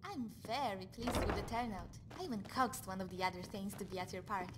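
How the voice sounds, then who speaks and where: A woman voicing a chatty, loyal female companion in a fantasy video game, bubbly, teasing and busily organising, over rumbling noise. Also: brisk, lightly acted. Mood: chirpy, proud. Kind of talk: video game character dialogue.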